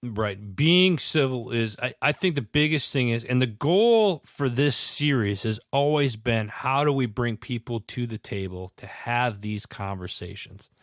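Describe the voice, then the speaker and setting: A man's voice: medium pitch, lively intonation, unhurried, hardly any pauses, dry recording. A male podcast host with a stuffy head cold, talking in an earnest, rambling way and often restarting his sentences. His voice is very dry, with no room sound at all. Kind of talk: casual podcast chat.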